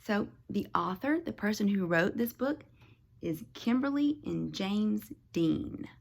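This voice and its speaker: Slow, animated woman reading to young children. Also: mid-range voice, gravelly. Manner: expressive, explains words and pictures to young children. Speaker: teacher reading aloud to her class. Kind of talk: children's picture book read-aloud.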